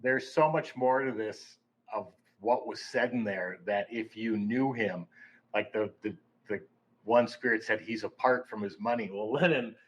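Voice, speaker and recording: A man's voice: fairly low pitch, measured pace, husky, low-quality recording. Rambling man telling the story. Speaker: paranormal investigator. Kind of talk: casual podcast chat.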